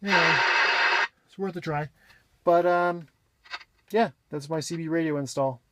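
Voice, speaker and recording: A man giving a casual, unscripted walk-around explanation in a plainspoken, halting way, pausing mid-phrase, recorded on a handheld camera mic around his vehicle. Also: on the high side, heavy background noise. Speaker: vlogger showing his own vehicle radio install.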